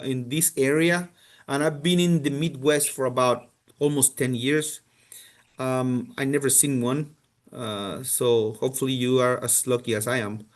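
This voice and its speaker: A man from Colombia, a university representative, answering questions on a video call, with many restarts and fillers. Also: mid-range voice. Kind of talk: casual answers in a virtual info session.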